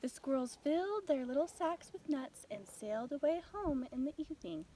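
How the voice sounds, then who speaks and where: A woman reading a children's bedtime story as an expressive narrator. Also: high voice, normal speed, a little hiss or hum, low-quality recording.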